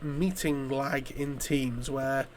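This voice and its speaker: A man from the UK, hoarse from a chest infection, chatting casually while playing a game, rambling and swearing with frequent restarts. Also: medium pitch, steady tone, slow, slight background noise. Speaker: gaming YouTuber.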